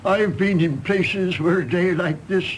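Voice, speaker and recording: An older man with an English accent playing a genial, doddery old colonial gentleman in a radio drama, rambling and grumbling. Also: high-pitched, a little slow, slight background noise, low-quality recording. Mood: wry, resigned. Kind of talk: stage-play radio drama acting.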